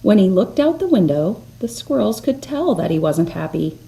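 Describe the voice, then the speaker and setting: A woman storyteller reading a children's picture book aloud expressively, with deliberate dramatic emphasis on key words. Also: mid-range voice, very animated, creaky, warm tone, poor audio.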